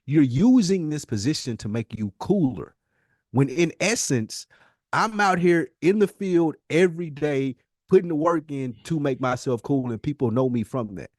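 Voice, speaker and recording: A man's voice: on the high side, lively intonation, moderate pace, a little mellow, no echo, studio quality. A man co-hosting a casual podcast, talking in slang and pressing his points with repetition. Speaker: podcast co-host.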